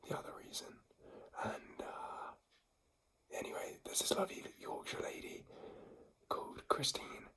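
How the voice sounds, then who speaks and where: A man's voice: a little slow, pauses often, bright, forceful tone. A man vlogging in a whisper because he has lost his voice, talking in a rambling way with many fillers and an American-sounding accent, indoors in a home. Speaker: vlogger and singer-songwriter. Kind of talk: casual vlog chat.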